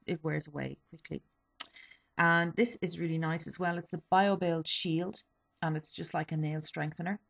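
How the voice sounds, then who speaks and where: A woman's voice: deep voice, fairly even intonation, normal speed, creaky. A young woman in her twenties, a little sniffly, chatting casually through a beauty haul with lots of ums and self-corrections. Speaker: beauty vlogger. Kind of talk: casual product review.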